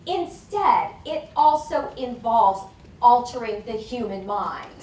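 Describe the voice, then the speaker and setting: A woman giving a deliberate, emphatic academic lecture at a conference on a lapel mic. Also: on the high side, expressive, a little mellow. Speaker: conference speaker. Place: conference hall. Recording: echoey hall from far off, faint noise.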